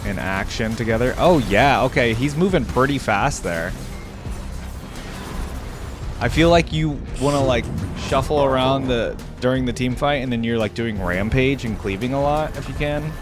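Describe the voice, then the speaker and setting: A man's voice: mid-range voice, normal speed, over music. A man giving casual reaction commentary as the host of a gaming video.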